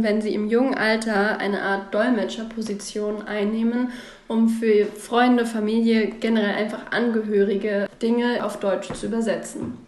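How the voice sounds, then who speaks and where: Woman co-host over music in a roomy space. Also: on the high side. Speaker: podcast co-host. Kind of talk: scripted podcast narration and interviewing. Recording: roomy sound with music underneath.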